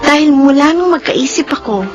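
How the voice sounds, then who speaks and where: Radio drama daughter: Filipino, teenage, frustrated, high animated voice. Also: female voice, normal speed, highs cut off. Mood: wistful. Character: frustrated, dutiful teenage daughter living in aunt's shadow. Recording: music under the voice.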